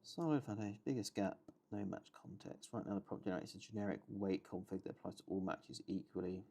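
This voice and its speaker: A man's voice: a little fast, warm tone. A man thinking aloud while he codes, muttering half-finished remarks to himself. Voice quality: low, gravelly voice. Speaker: solo coding streamer.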